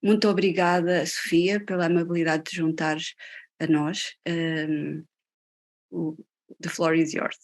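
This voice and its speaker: A woman's voice: low-pitched, level tone, slow. A woman moderating an online event over a video call, hesitant with many fillers.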